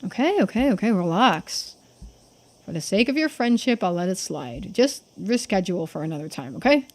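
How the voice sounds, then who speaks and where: A woman's voice: mid-range voice, very animated, moderate pace. A woman voicing a shrewd, laid-back young man in video game dialogue, speaking matter-of-factly and casually. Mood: casual, mildly put out. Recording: game music behind the voice.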